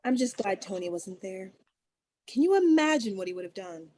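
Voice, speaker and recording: A woman's voice: mid-range voice, very animated, moderate pace, noisy background, lightly acted. A woman reading a chatty, conspiratorial teenage friend at a table read over a video call. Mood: gossipy. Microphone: video call mic.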